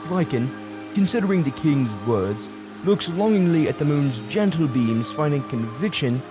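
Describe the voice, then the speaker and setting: A man's voice: a little high, animated, normal speed. A man narrating a scripted fantasy audio drama as a storyteller. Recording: prominent music bed behind.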